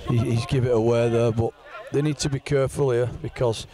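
A man giving co-commentary on an amateur rugby league match outdoors in the rain, measured and matey. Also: mid-range voice, fairly even intonation, warm tone. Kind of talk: rugby league expert analysis. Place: outdoors at a rainy rugby ground. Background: spectators and rain.

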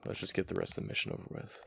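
A man voicing a flustered, affectionate young gamer boyfriend in a boyfriend ASMR roleplay, with a deep, gravelly, halting murmur. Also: fairly bright tone, a little hiss or hum, lightly acted. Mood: relieved, sheepish.

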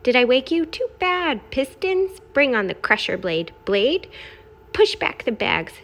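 A woman's voice: sits high, big pitch swings, measured pace, fairly bright tone, voice pushed up, exaggerated voice. A woman voicing a brash, boastful, gleefully smelly garbage truck in a children's picture book, in punchy, emphatic phrases. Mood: bossy, excited. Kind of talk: expressive children's picture-book read-aloud.

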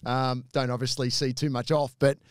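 An Australian man in his forties, a former footballer telling stories as a podcast guest, animated and quick to laugh, in a small studio on a desk mic. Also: mid-range voice, clear, resonant tone, no echo. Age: 40s. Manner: animated, laughs often, repeats words while thinking. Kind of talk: casual storytelling chat. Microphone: studio mic on a desk arm, headphones.

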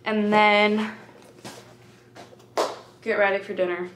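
A woman's voice: mid-range voice, level tone, takes it slowly. A young woman chatting casually and giggling while filming a vlog with friends in her apartment. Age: young adult. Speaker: vlogger. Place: apartment and parking garage. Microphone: handheld camera. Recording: echoey rooms with loud background noise.

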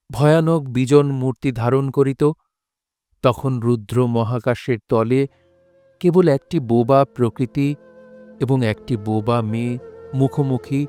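A man narrating a literary audio drama in a measured, poetic recitation. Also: mid-range voice, dark tone, no echo.

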